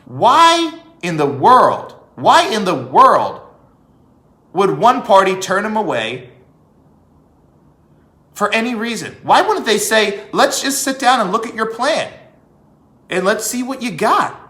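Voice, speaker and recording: Emphatic high-pitched man in echoey room. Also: big pitch swings, takes it slowly, pauses often, sharp tone. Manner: emphatic, repeats phrases for effect, rhetorical questions. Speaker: commentator. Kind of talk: opinionated political monologue.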